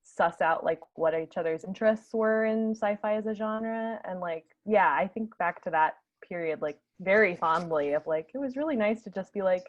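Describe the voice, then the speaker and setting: A woman speaks in a loose, informal way with a middle-pitched voice, as one panelist in a group chat. The video-call recording is a little dull. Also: moderate pace, few pauses. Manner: informal, frequent "like". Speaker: theatre collaborator, panelist. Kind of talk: casual chat.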